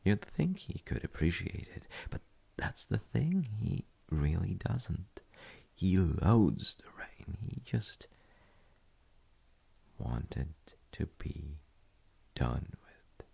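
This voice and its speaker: A man playing a gentle, thoughtful dad in an intimate audio roleplay, speaking hesitantly and softly with a gravelly, husky voice. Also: low voice, very animated, very slow, pauses often, exaggerated voice. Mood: wry, musing. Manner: hesitant, musing, soft-spoken. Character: gentle, thoughtful dad comforting his child. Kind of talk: intimate audio roleplay, slow bedtime chat.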